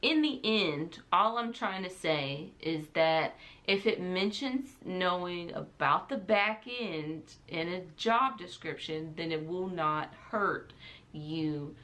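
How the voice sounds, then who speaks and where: Young woman vlogger, slightly low voice. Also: lively intonation, slow, fairly bright tone. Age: young adult. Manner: giggly, self-deprecating, rambling. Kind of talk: casual, chatty vlog talk to camera.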